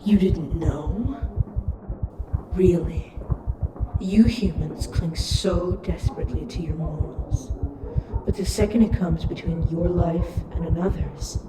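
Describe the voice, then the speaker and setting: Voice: mid-range voice, slow, natural voice. An actor playing a taunting, cruelly curious disembodied supernatural being in an audio roleplay, speaking in a mocking, theatrical way that savors each word. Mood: scornful. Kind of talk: audio roleplay, addressed to listener. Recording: echoey, distant, with humming noise.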